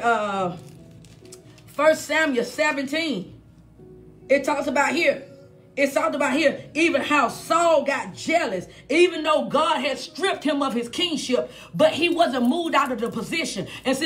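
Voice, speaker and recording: A woman's voice: high-pitched, fairly bright tone. An American woman preaching a fiery sermon in an emphatic, confrontational manner, repeating phrases and firing questions at her listeners.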